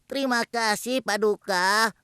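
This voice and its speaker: A woman's voice: fairly high pitch, measured pace, smooth, dry recording, clean studio sound. A woman voicing an earnest, humble young hoopoe bird messenger in a children's cartoon, with an exclamatory delivery. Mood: humble, deferential. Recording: loud music behind the voice.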